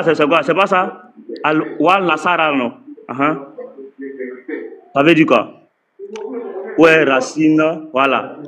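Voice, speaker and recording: A man's voice: fairly high pitch, rough recording. A man hosting a heated live-stream debate, interrupting and stammering repeated words as he moderates.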